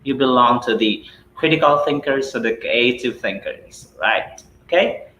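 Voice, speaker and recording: A chatty Filipino man runs a live English lesson, asking rhetorical questions and tagging "right?" onto his points. His voice sits at an ordinary middle pitch. It bounces around a roomy space, with a faint hum of ambience underneath. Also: measured pace, pushed and bright. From Philippines. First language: Cebuano. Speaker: English teacher.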